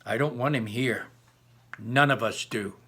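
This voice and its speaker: A man's voice: mid-range voice, unhurried, sharp tone, breathy edge. A man voicing a bitter, furious schoolgirl from a storybook, blunt and accusing.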